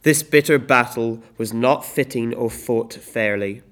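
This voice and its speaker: A man playing a solemn storyteller, giving deliberate scripted narration of a Greek tragedy. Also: medium pitch, a bit bright, lightly acted.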